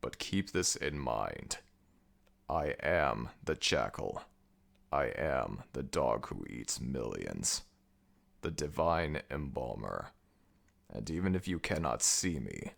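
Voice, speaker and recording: A man playing an ancient, stern jackal god of the dead in an ASMR audio roleplay, speaking in an imperious, deliberate, menacing way. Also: rumbling low, creaky, a bit bright, heavily acted.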